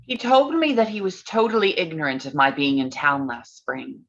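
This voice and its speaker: A woman playing a gentle, earnest young gentlewoman in a period drama reading. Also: low voice, moderate pace. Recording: dull lo-fi call audio.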